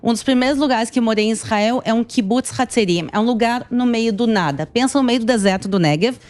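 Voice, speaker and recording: A woman's voice: on the high side, fairly quick, a little mellow. A woman in her thirties with a Porto Alegre accent from southern Brazil, telling stories conversationally as an interview guest. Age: 30s. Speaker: tour guide and YouTuber guest.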